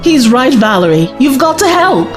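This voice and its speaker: An actress playing a spirited, cheeky young woman companion in a science fiction audio drama, expressive and teasing. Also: high voice, normal speed, over music. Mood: wry.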